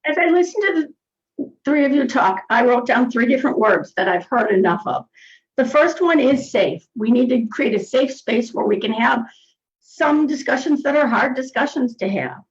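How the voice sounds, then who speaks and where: A woman's voice: sits high, animated, moderate pace, dull audio. A woman moderating a panel discussion, speaking in an encouraging way.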